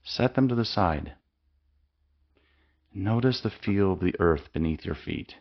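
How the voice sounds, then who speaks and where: A man leading a guided mindfulness meditation with slow, calm, soothing instructions and long pauses. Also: sits low, creaky, muffled recording.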